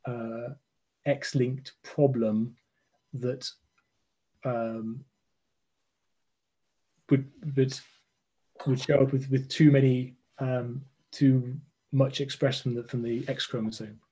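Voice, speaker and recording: A man in his forties, a scientist explaining his research over a video call from a room at home, thoughtful and full of pauses and fillers. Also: mid-range voice, level tone, warm tone, slightly rough, poor audio. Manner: thoughtful, hesitant, many fillers and restarts. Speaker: genomics lecturer, guest scientist. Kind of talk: explaining research in a live online interview. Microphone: video call webcam.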